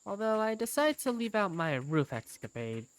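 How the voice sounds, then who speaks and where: A woman's voice: mid-range voice, big pitch swings, moderate pace. A woman reading scripted first-person story narration for a fan-dubbed game.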